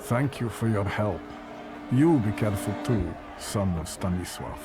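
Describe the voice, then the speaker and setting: A man's voice: on the low side, animated, measured pace, music in the background. An actor playing a worried, kindly man in a video game, urgent and cautioning. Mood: weary, grim. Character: worried, weary, kindly man of a troubled neighborhood. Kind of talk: dramatic video game acting.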